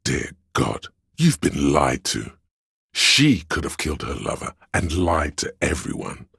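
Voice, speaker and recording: A theatrical inner voice for a video game, grandiose and scheming like a courtier. The register is extremely deep, with heavy gravel and a husky rasp. The delivery is dramatic, declamatory and archaic. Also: male voice, huge pitch swings, unhurried, fairly bright tone, no room sound, studio quality, heavily acted. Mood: suspicious, stern. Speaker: voice actor.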